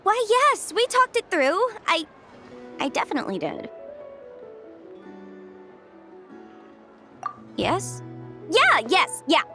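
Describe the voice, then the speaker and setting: A woman's voice: very high-pitched, huge pitch swings, sharp tone, exaggerated voice. A woman voicing a chatty young female legal consultant with adeptal blood and small horns, in video game dialogue. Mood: flustered. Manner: brisk, chatty, flustered when dodging questions. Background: game music.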